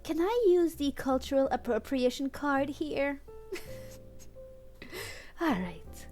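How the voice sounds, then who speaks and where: A woman's voice: high-pitched, expressive, takes it slowly, darkish tone, soft background music. A woman livestreaming a read-aloud of fan fiction on a headset, playful and mock-dramatic, with amused asides. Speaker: streamer and host.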